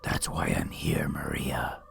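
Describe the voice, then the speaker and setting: A masked boogeyman from a horror audio drama, whispers with calm, righteous menace. The voice is very deep and breathy, slow and deliberate, with a rough creak. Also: faint music behind, heavily acted. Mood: ominous, knowing.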